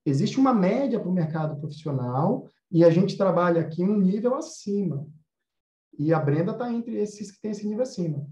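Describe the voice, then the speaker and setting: Higher-voiced Brazilian man, mellow, roomy sound. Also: lively intonation, moderate pace. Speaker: host, animation course teacher. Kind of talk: casual explanatory talk. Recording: roomy, slightly dull sound.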